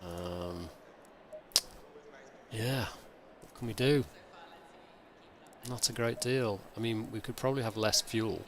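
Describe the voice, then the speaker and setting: A man streaming a sim race, with a croaky, hungover voice, rambling and thinking aloud in casual chat. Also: on the low side, slow, long pauses. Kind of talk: casual live-stream commentary.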